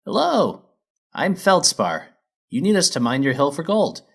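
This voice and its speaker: A rugged storybook mare who bosses a crew of miners as a male narrator plays her. The voice is lifted well up in pitch. She speaks in a brisk, businesslike way. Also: sing-song, creaky, slightly rough, exaggerated voice.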